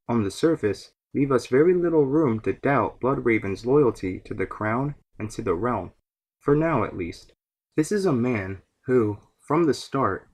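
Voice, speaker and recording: A man narrating a scripted fan lore series as its host, in a measured, explanatory way. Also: medium pitch, husky.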